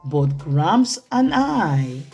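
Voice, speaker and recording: A woman narrates a picture book for small children over music. She takes it very slowly, with theatrical, deliberate phrasing and lively swings in pitch. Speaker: children's storytime reader. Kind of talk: expressive read-aloud picture-book narration.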